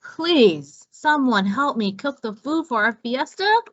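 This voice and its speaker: A woman's voice: high-pitched, expressive, takes it slowly, breathy edge, pitched above the performer's own voice, full character voice. A woman voicing a bossy, exasperated female iguana, emphatic and indignant, in a children's storybook. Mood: pleading. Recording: roomy, slightly dull recording.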